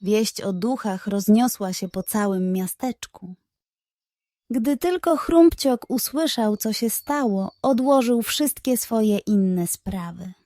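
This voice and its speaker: A woman narrating a scripted bedtime story for children in a measured, expressive way. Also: mid-range voice, dry recording, clean studio sound.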